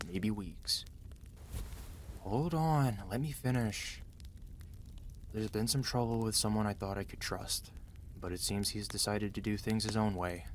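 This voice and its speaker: A man acts out a protective mafia boss husband in an intimate audio roleplay. His voice is low, and he speaks in a tender, confiding way, weary but gentle. There is noticeable noise behind him. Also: lightly acted. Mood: reluctant, serious. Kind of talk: intimate ASMR roleplay acting.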